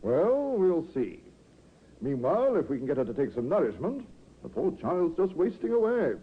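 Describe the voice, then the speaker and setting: A kindly, concerned doctor in an old radio drama. His husky voice swings widely in pitch. The recording is tinny and narrow. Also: big pitch swings, normal speed. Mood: worried. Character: concerned, kindly male family doctor, puzzled. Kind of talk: 1950s radio acting.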